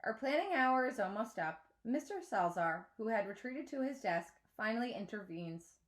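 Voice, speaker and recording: A woman teacher reading a children's novel aloud in an expressive storytelling style. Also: on the high side, normal speed.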